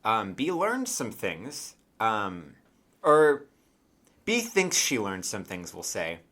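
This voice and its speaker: A man's voice: on the low side, wildly swooping pitch, takes it slowly, fairly bright tone. A man acting as game master, casually narrating a tabletop game and talking directly to his players in a hesitant, conversational way.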